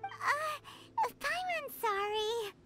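A woman voicing a tiny, excitable flying fairy companion in a video game, with a squeaky childlike voice. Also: very slow, loud music behind the voice, exaggerated voice. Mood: sheepish. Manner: refers to herself in third person, excitable.

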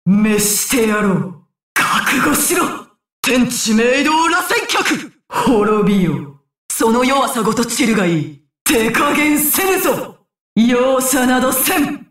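Fierce high-pitched imperious ninja warrior. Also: female voice, animated, slow, a bit bright, slightly rough, dry recording, studio quality, heavily acted. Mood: menacing, haughty. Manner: archaic, haughty, commanding Japanese diction. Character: proud battle-hungry female ninja warrior, imperious and fierce. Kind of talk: anime video game battle lines.

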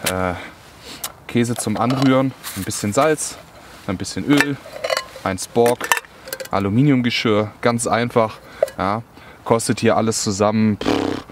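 A man's voice: fairly low pitch, noisy background. A young man chatting casually and colloquially to camera in a laid-back way, outdoors in the woods. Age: young adult. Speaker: outdoor vlogger.

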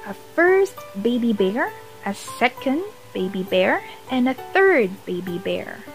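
A woman reading a children's picture book aloud as a storyteller, expressive and deliberately phrased for young listeners. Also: on the high side, faint music behind. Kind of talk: bedtime story read-aloud.

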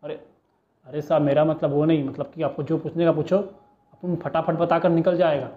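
Phone-like, high-voiced Mumbai street crook played by a man. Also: level tone, normal speed, close to an everyday voice. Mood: pleading, wheedling. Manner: pleading, bargaining, swaggering street talk. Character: cheeky small-time male street crook in police interrogation. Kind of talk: audition monologue, film-style street-slang acting. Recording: muffled, narrow phone-like sound.

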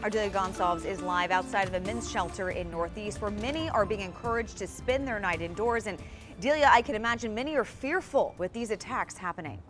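A woman's voice: medium pitch, a little fast. An American woman anchoring TV news, reading a scripted introduction in a studio. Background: music.

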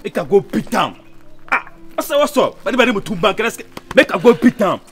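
A man acts out a fiercely loyal Ghanaian follower in a screen drama. He is agitated and blustering, repeating himself as he leaps to protect his madam. Faint music plays under his mid-pitched voice. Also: animated, fast talker, fairly bright tone, natural voice. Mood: outraged. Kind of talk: dramatic acting in Pidgin.

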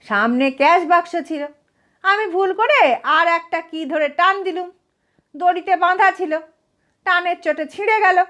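A woman's voice: extremely high pitch, unhurried, a bit bright, voice pushed up. A woman voicing an absent-minded, hapless male thief in a comic storybook reading, chatty and deferential, with an earnest, aggrieved whine. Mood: chatty, excited. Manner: whining, earnest, addresses listener as sir.

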